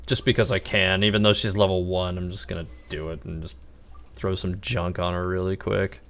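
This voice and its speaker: A man's voice: low voice, little pitch movement, normal speed, fairly bright tone. A man casually commentating on a live game stream, musing and thinking aloud.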